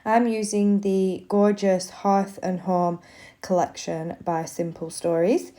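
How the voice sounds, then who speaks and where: A woman's voice: mid-range voice, flat delivery, slow pace, mellow. Bunged-up woman, chatty voiceover. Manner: chatty, many ums. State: congested with a cold. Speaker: craft vlogger. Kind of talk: casual voiceover narration. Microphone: voiceover mic.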